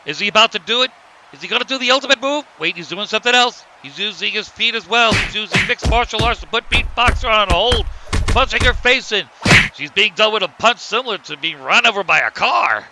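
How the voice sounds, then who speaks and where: A man playing an excitable wrestling commentator, calling the fight blow by blow. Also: high voice, brisk, a little hiss or hum, full character voice. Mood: excited. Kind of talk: sports commentary.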